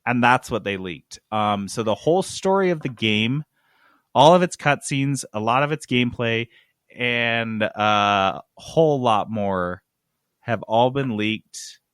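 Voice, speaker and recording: A man's voice: medium pitch, lively intonation, takes it slowly, a bit bright, clean tone, no echo, studio quality. A man hosting a gaming podcast, explaining news casually in an emphatic, sarcastic, sweary way. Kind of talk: casual comic explaining of game industry news.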